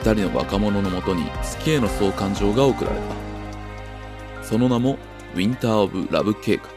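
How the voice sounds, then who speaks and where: A man playing the grave, deliberate narrator of a science-fiction radio drama. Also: on the low side, lightly acted. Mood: solemn. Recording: music behind narration.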